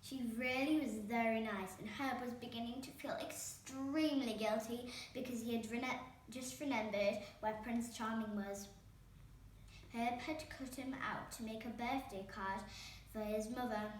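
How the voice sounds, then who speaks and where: A woman's voice: fairly high pitch, steady tone, measured pace. A woman reading a children's storybook aloud as a storytime host. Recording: very echoey room, distant microphone, faint noise.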